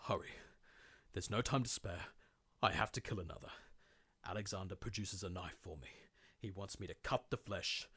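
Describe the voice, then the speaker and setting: A man's voice: sits low, moderate pace, sharp tone, slightly rough, no echo, heavily acted. A man playing a tormented, guilt-ridden diarist sinking into madness, in an intense, formal horror-game monologue. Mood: urgent, menacing. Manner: theatrical, formal old-fashioned diction, intense. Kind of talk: dramatic monologue, video game horror fandub.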